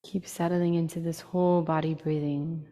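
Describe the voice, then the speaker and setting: Low, mellow woman guiding meditation in long reverb. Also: flat delivery. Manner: unhurried instructions in short phrases with long pauses. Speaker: guided meditation teacher. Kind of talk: slow, soothing guided meditation.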